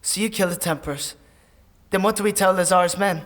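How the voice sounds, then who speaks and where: A man playing a warrior's earnest, wary companion in a dark fantasy audio drama, plain-spoken and full of questions. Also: high-pitched, brisk. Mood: tense, earnest.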